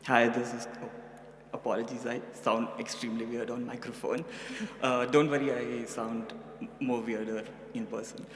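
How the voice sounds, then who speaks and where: A man's voice: medium pitch, flat delivery, normal speed. A young male student ambassador introducing himself, nervous and self-deprecating. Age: young adult. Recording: echoey hall, faint noise.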